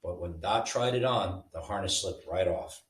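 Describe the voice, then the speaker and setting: A man reading a children's bedtime story aloud in an animated, informal way, like a storyteller with a picture book. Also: deep voice, moderate pace. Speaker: storybook reader. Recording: roomy, mic at a distance.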